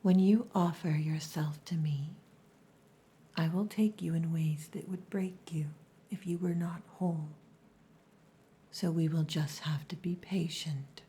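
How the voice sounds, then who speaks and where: Casting: actress for a female orc girlfriend, ASMR roleplay. Low, breathy whisper, softly commanding, possessive yet tender. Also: steady tone, slight background noise. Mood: tender, restrained. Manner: slow, hushed, softly commanding.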